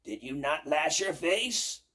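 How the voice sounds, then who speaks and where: A man's voice: a little high, animated, slow pace, sharp tone, husky, pitched above the performer's own voice, full character voice. Man playing a furious screaming old witch. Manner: screaming, accusing.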